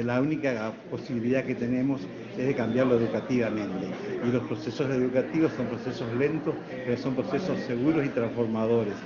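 An older man with a northeastern Argentine accent answers interview questions as a retired professor, in an earnest, explanatory and halting manner. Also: medium pitch. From Puerto Iguazú, Misiones, Argentina. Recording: dull lo-fi, loud crowd chatter.

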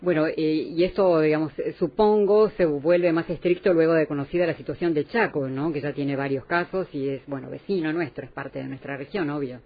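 A woman's voice: deep voice, a little fast, few pauses, slightly rough. An Argentine woman hosting a radio interview, asking plain questions and giving short prompts. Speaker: radio host. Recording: dull lo-fi radio sound.

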